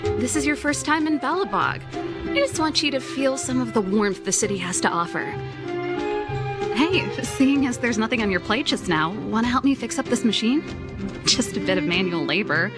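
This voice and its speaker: A woman's voice: a little high, animated, moderate pace, lightly acted. A woman playing a friendly, easygoing young mechanic in a video game, breezy and explanatory, with a joking touch. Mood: cheerful, teasing. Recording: loud music behind the voice.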